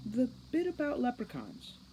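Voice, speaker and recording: A woman's voice: on the high side, huge pitch swings, moderate pace, warm tone, slight background noise, pitched above the performer's own voice. A woman reading a storybook as a sceptical young female assistant, hesitant and questioning. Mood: hesitant, doubtful.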